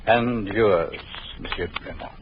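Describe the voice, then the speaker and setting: An actor playing a sinister, jealous doctor in a 1940s radio drama, smooth and coldly ironic. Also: male voice, fairly low pitch, slow pace. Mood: suave. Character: sinister jealous male doctor, suave and menacing. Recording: dull lo-fi old radio recording with faint hiss.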